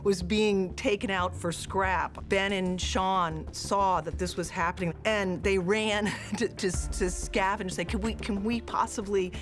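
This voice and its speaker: A woman's voice: medium pitch, fairly even intonation, unhurried, hardly any pauses. A woman telling anecdotes in a behind-the-scenes film interview, repeating words for emphasis. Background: music.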